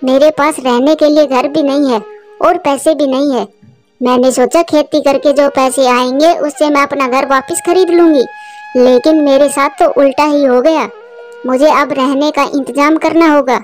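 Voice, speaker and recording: A woman's voice: sits high, steady tone, moderate pace, soft background music, lightly acted. A woman voicing an earnest, hard-working female cartoon bird who frets aloud in a plaintive manner. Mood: distressed, regretful. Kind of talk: Hindi cartoon story voice acting.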